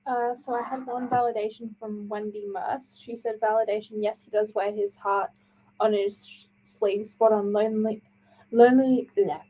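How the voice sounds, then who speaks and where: A woman's voice: mid-range voice, flat delivery, moderate pace, mellow, poor audio. A woman co-hosting a radio show, reading listener comments aloud. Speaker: radio co-host.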